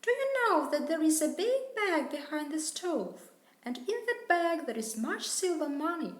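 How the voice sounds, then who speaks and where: A tiny eager mouse from a bedtime story, as a woman puts her on. The voice lifts high and swings widely. It sounds in a roomy echo. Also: sing-song, measured pace.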